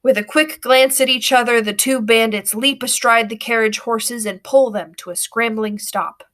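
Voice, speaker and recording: A woman reading a storybook aloud with expressive, dramatic narration. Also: fairly high pitch, normal speed.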